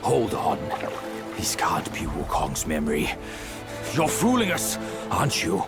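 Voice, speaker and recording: A male actor playing a vain, good-natured pig demon in a video game, with a playful, expressive, defensive manner. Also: very deep voice, a little slow, creaky, full character voice. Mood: suspicious. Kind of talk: video game cutscene acting. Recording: background music under the voice.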